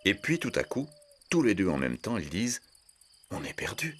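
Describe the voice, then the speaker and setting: A man narrating a scripted children's story in measured, simple sentences. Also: fairly low pitch, very animated.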